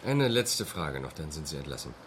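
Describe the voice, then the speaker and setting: An authoritative police captain in a radio crime drama. His voice is deep and his questioning formal and curt, over faint background ambience. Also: big pitch swings, normal speed, a bit bright, a little hiss or hum, full character voice. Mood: coolly official.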